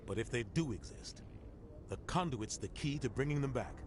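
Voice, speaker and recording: A grave military captain voiced by a man in a sci-fi game. He speaks in a steady, direct way at a middling pitch, with clear ambient noise behind him. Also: normal speed, natural voice. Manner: serious, direct. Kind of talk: scripted sci-fi game dialogue.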